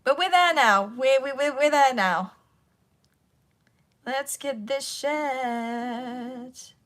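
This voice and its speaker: A high-voiced woman hosts her own livestream, hoarse and croaky from a sore throat. She rambles at an unhurried pace, talking half to her viewers and half to herself as she struggles with her devices. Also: fairly bright tone. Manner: rambling, talks to herself while fighting tech. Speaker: voice actor hosting a livestream Q&A. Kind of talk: casual livestream chat.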